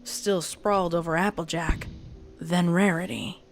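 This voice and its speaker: Woman narrator, slightly low pitch, scripted dramatic storytelling, soft music underneath. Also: steady tone, a little slow. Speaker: fan fiction narrator.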